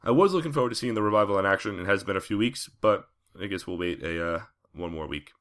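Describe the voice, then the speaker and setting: A low-pitched man with a bright, forward tone, talking through a casual podcast recap. His throat is a bit raspy and scratchy from a cold coming on, and he fills pauses with plenty of "uh"s. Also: animated. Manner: conversational with frequent fillers. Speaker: podcast host.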